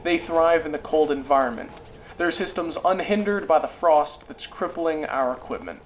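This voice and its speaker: A man's voice: on the high side, normal speed, highs cut off, a little hiss or hum, lightly acted. A man playing an earnest war correspondent giving dramatic field reports in a sci-fi radio play. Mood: grave, resolute. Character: earnest, gritty male war correspondent at frozen front.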